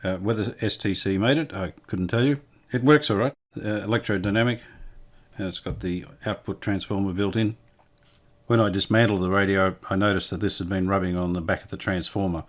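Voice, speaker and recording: A man with a low voice talks through hands-on restoration work as he goes. His delivery is understated and matter-of-fact, with the unscripted feel of a casual workbench vlog. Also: steady tone, a little fast. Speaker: restorer presenting a hands-on vintage radio repair.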